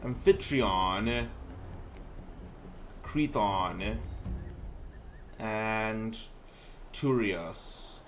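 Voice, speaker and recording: A man's voice: on the low side, very slow, pauses often, slight background noise. A man streaming a game, reading aloud and commenting casually, often stumbling over words and repeating himself.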